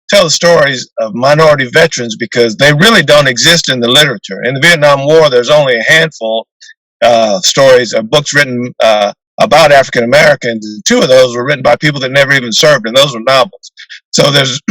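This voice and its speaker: An older man with a deep voice, a retired naval officer answering interview questions in a measured way with fillers and restarts, over a video call. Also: bright, forceful tone, no room sound, poor audio.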